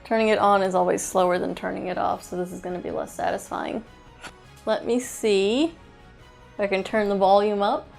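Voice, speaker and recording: A woman's voice: medium pitch, steady tone, normal speed, gravelly, a little mellow. Woman chatting casually over music. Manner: chatty, thinking aloud while handling items. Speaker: toy reviewer and host. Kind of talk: casual unscripted product review vlog.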